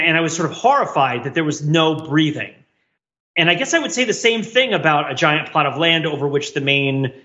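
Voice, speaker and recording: A man's voice: fairly high pitch, pushed and bright, lo-fi sound. A man co-hosting a podcast, talking reflectively in long, winding explanations. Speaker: podcast co-host.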